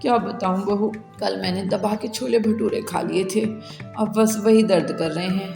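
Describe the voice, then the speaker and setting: A woman's voice: mid-range voice, normal speed, gravelly, warm tone, lightly acted. Lady doing a bossy older cartoon bird mom-in-law, reverby, music behind. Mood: complaining.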